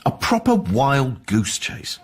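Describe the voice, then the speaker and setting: An actor playing a weary, disgruntled but decent police detective in a radio drama, blunt and sweary. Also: male voice, medium pitch, huge pitch swings, takes it slowly, husky, faint music behind, exaggerated voice. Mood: weary, bitter.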